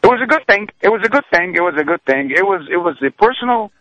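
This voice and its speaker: A male journalist talks as a radio guest, telling his story haltingly and full of fillers. The sound is dull and lo-fi, as if from radio, and dry with no room echo. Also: sits high, animated. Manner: hesitant, many fillers, eager storyteller. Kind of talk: radio interview.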